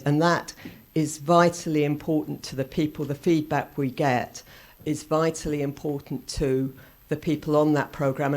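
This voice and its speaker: Woman holding back a loud voice. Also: low-pitched. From England. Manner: loud voice, held down on purpose; thinking aloud, self-correcting, with short laughs. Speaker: conference speaker. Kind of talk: conference talk. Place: conference room.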